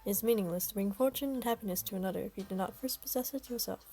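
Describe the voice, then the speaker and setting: A woman's voice: mid-range voice, racing, dark tone, husky, faint music behind, low-quality recording. A woman reading the part of a formal, polite young man who brings good fortune. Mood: earnest. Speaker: voice reader of a game story.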